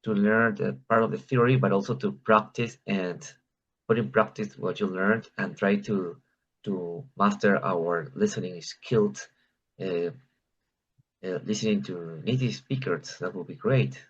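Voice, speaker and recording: A man with a low, husky voice speaks in an online discussion. He goes haltingly, repeating words and listing points earnestly. The audio is dull and muffled. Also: fairly even intonation. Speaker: participant in an English practice meeting.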